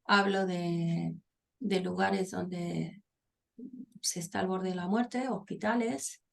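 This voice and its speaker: A middle-aged woman from Argentina, a psychologist and author, speaking thoughtfully in an interview with frequent pauses and restarts. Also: lowish voice, fairly even intonation, vocal fry, slight background noise. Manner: thoughtful, pausing with many fillers and restarts. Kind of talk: conversational interview.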